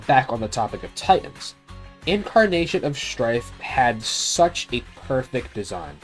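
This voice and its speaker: A man hosting a video game review, giving opinionated commentary in a blunt, casual, sweary way. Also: medium pitch.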